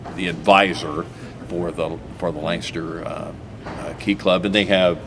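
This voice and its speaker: A man's voice: low voice, steady tone, moderate pace. A man hosting a local TV interview, talking in a rambling way with many fillers and restarts. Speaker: talk show host. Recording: noisy recording.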